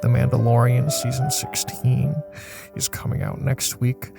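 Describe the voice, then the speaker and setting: A man playing an exasperated, bumbling president in an improvised comedy audio drama, talking in a halting, conversational way. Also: medium pitch, flat delivery, vocal fry, loud music behind the voice. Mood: exasperated, flustered. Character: exasperated male president, bumbling and easily embarrassed.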